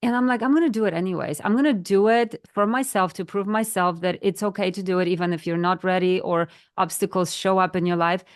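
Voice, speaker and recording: A woman's voice: on the low side, brisk, hardly any pauses. A woman in her thirties from Poland with a Polish accent, co-hosting a podcast chat and talking earnestly, sometimes searching for words. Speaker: podcast co-host. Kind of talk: casual chat.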